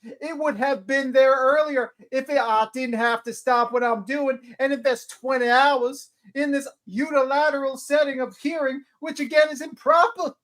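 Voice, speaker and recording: A woman playing a flustered, indignant litigant who pleads her case in a halting, repetitive way in a dramatic reading of a court hearing. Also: sits high, steady tone, fairly bright tone. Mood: indignant, pleading.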